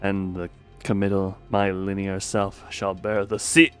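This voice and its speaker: Man intoning a grave, low poetic incantation. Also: level tone, unhurried, smooth. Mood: solemn. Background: music.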